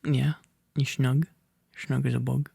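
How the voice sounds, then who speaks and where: A young man talks in an intimate ASMR boyfriend style, rambling and teasing playfully. His voice is creaky and his pace unhurried, recorded close and very dry. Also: mid-range voice, flat delivery, warm tone, no echo. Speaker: ASMR roleplay performer, reader. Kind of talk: intimate ASMR boyfriend roleplay, casual chat.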